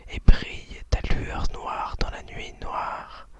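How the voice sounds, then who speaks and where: A woman whispering softly and breathily in an unhurried, hesitant ASMR style, as host of talk and poetry readings.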